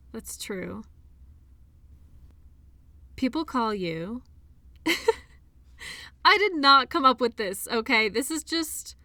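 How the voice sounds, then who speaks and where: Soft-spoken caring doctor, acted by a woman, very dry sound. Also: a little high, no echo, heavily acted. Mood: playful teasing. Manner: soft, hesitant, giggly, conversational. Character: caring, shy doctor, a woman, teasing and softly admiring. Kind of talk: intimate audio roleplay acting.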